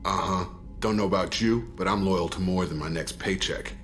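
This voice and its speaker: An actor playing a wary, proud, loyal soldier in a video game, talking in a skeptical, pointed manner. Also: male voice, sits low, normal speed, a bit bright, soft background music. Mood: suspicious, curt. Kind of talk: video game cutscene acting.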